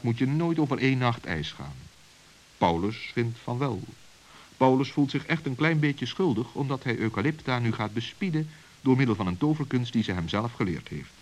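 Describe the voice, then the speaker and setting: A man's voice: mid-range voice, low-quality recording. A man narrating a scripted children's radio story on a hissy old recording.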